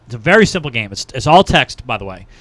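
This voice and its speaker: Bright-voiced man in casual podcast chat. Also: medium pitch, big pitch swings, fast talker, no room sound. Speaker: podcast co-host. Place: small podcast studio. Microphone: close podcast microphone, headset.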